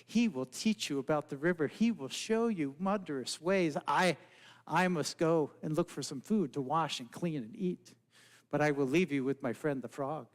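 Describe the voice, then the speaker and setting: A man voicing a friendly, helpful male raccoon who speaks gently. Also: on the high side, normal speed, big-room sound, pitched below the performer's own voice, close to an everyday voice.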